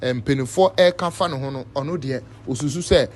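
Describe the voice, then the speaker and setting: A man's voice: medium pitch, a little fast. A man with a Ghanaian accent presenting informal news commentary, switching between a local language and English. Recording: faint background noise.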